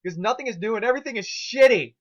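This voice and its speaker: A man's voice: high-pitched, expressive, fast, fairly bright tone, dull audio, voice pushed up. A man voicing an irritable, foul-mouthed female pony scholar who rants with obsessive glee in an amateur dramatic reading. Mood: exasperated, yelling. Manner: ranting, gleefully obsessive.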